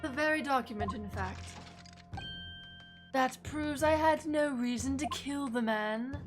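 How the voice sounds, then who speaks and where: A woman's voice: sits high, a little slow, over music. A woman voicing a haughty, scornful witness in an amateur reading of a courtroom game. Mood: smug.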